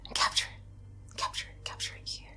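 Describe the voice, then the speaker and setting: A young adult woman playing a vengeful, soothing witch casting a spell in a breathy whisper, as an ASMR fantasy roleplay. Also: pushed and bright. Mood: hushed, intent. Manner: slow, incantatory, repeating phrases. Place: bedroom, indoors.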